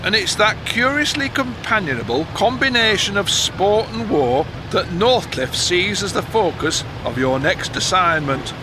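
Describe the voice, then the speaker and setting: A canny Northern English newspaper editor of the 1910s in a radio play. The voice is high for a man, yet gruff, teasing and conspiratorial. Clear car noise runs behind it. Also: big pitch swings, a little slow, hardly any pauses. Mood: sly, explaining. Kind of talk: audio drama acting. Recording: noisy moving-car ambience behind.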